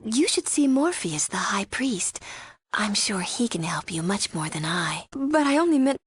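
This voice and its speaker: A young female undercover spy for a video game. Her voice sits at a middling pitch in a very dry recording. She talks conversationally, guarded and sardonic, like someone keeping a secret. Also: sing-song, a little slow, slightly rough, dry recording, full character voice. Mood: polite, evasive. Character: wary, sardonic young female spy posing as cult follower. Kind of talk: video game character dialogue.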